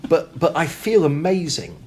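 Man, author interview, animated and reflective, emphatic, acts out imagined quotes, faint background noise. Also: a little high, moderate pace. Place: hotel.

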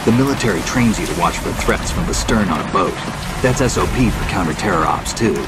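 A man acts out a gruff, wary middle-aged infiltrator in a video game. The voice is low and gravelly, speaking in terse military phrases. Loud dramatic music plays behind it. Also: lively intonation, fairly quick, close to an everyday voice.

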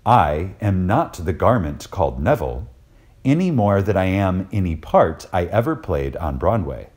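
A man delivers a lecture reading in a deep, mellow voice. His pitch moves widely as he stresses key words. He is reverent and dramatic, leaving deliberate pauses between phrases. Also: big pitch swings. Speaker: reader of a recorded lecture.

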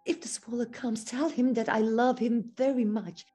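A woman's voice: a little high, steady tone, normal speed, dark tone, faint music behind, close to an everyday voice. A woman voicing a tiny, kind-hearted young girl in a storybook fairy tale, earnest and pleading, in non-native English.

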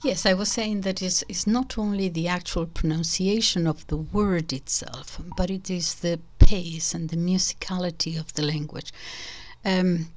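An older woman with a Spanish accent, speaking in a discursive, casual way to her online audience, restarting and correcting herself and often dropping to a soft whispery aside. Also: fairly low pitch, fairly even intonation, unhurried. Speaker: channel host.

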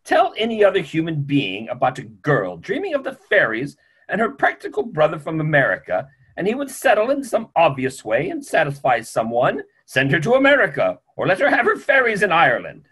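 Grumpy, opinionated older male doctor played by a man, theatrical, emphatic, very animated, slightly high pitch. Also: fairly quick, breathy edge, exaggerated voice. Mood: exasperated explaining. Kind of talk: theatrical play reading. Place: small home room on a video call.

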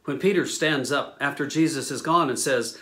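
A man in his sixties preaching a sermon as a pastor, with measured, deliberate phrasing and pauses. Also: mid-range voice, a bit bright. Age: 60s. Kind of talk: sermon, teaching scripture to camera. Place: small indoor room, speaking to camera.

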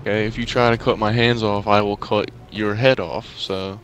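A man's voice: fairly low pitch, steady tone, normal speed, background noise. A man doing casual gameplay commentary in a joking, sarcastic and profane way. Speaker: gamer. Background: game music.

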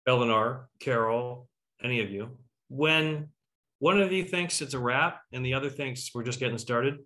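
A man's voice: medium pitch, normal speed, sharp tone, poor audio. A man hosting a talkback on a video call, moderating and feeling his way through questions. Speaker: host. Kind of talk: interview moderating. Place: indoors at home on a video call. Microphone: video call microphone.

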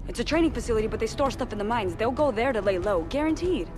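A woman's voice: fairly high pitch, expressive, quick pace, over music, lightly acted. A woman playing an assertive, frustrated young adviser to soldiers in a video game, firm and insistent. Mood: frustrated, sure. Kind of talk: dramatic video game cutscene acting.

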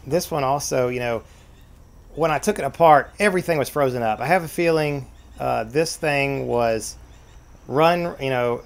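A man's voice: mid-range voice, normal speed, vocal fry. A man casually showing and explaining an old broken tape deck at home, rambling with lots of fillers. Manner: rambling, easygoing, many fillers. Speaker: vintage tape deck repair vlogger. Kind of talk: casual show-and-tell narration. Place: sunny room at home, at a desk.

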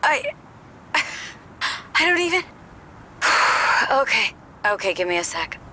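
A woman's voice: fairly high pitch, big pitch swings, slow, very noisy. A woman playing an exasperated mission support teammate in a video game. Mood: flustered.

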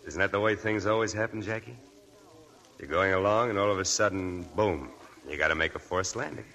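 An actor playing a breezy, hard-drinking, talkative nightclub press agent, glib and slangy, in a 1940s radio drama. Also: male voice, low voice, fairly quick, sharp tone. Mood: flippant. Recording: 1940s radio broadcast with music.

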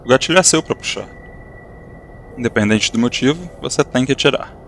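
Man plays wistful ghost of an old friend, gentle and unhurried, lively pitch, faint noise behind, audio drama. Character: calm wise male spirit of a dead friend. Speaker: spirit character.

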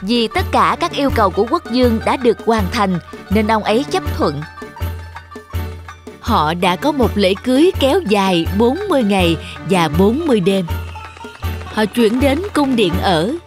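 A woman's voice: fairly high pitch, lively intonation, moderate pace. A woman reading scripted children's story narration as the narrator. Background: music under the voice.

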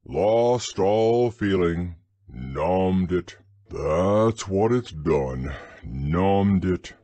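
A man voicing a gloomy, resigned old male donkey in a storybook reading, talking in a slow, sighing, mournful drawl. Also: low-pitched, lively intonation, warm tone, dry recording, full character voice. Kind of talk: storybook character voice.

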